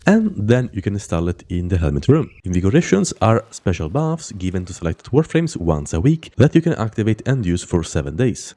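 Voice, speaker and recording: A young man with a cold talks through a game guide in a low, mellow voice. He explains things steadily and methodically, and his sick voice sounds slightly off. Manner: steady explanatory, then loose chatty sign-off. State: has a cold, voice off. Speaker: gaming channel host. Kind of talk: scripted game guide narration.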